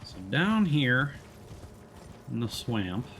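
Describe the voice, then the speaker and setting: A man's voice: mid-range voice, very animated, drawn-out words, fairly bright tone, slight background noise. A man giving casual let's play commentary as a streamer, explaining and thinking aloud as he plays. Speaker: streamer playing a video game.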